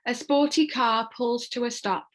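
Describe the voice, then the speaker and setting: A woman's voice: fairly high pitch, fairly even intonation, unhurried. A woman giving scripted narration, reading out screenplay stage directions. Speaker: stage-direction reader and host.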